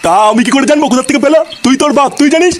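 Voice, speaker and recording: An excitable, scolding Bengali cartoon villager, acted by a man, pitched extremely high. The words tumble out at a frantic speed in crude, agitated village slang, with faint noise on the recording. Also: racing. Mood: scolding, angry.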